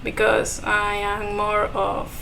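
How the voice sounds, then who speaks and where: A woman's voice: mid-range voice, completely flat delivery, slow pace, vocal fry, pushed and bright, a little hiss or hum, poor audio. A young woman from Ecuador whose first language is Spanish, speaking English with an Ecuadorian Spanish accent. She is a guest in a casual podcast chat and talks with frequent giggles while searching for words.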